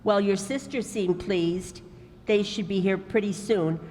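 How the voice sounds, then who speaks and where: An actress playing a cheerful, patient older sister who keeps smoothing over her husband's rudeness. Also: medium pitch, measured pace, a little mellow, slight background noise, close to an everyday voice. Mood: upbeat. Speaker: amateur radio actor. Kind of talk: old-time radio comedy acting. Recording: live stage hall reverb.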